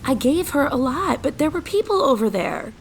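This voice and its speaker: A woman's voice: high voice, sing-song, normal speed, slight background noise. A woman playing an anxious, exasperated teenage girl in a fantasy audio drama, blunt and flustered. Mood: anxious, urgent.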